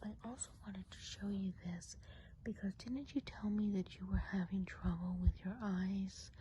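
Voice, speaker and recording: A woman playing a kindly, chatty witch of the woods in a whispered ASMR role play, speaking gently and without hurry in a soft, breathy whisper. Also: medium pitch, fairly even intonation, slight background noise, close to an everyday voice. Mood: gently caring. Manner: gentle, unhurried, chatty, hesitant asides.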